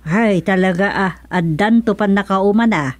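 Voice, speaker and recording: A woman's voice: mid-range voice, moderate pace, no room sound, natural voice. A woman playing a concerned, mildly disapproving married woman, conversational, in an Ilocano radio serial drama.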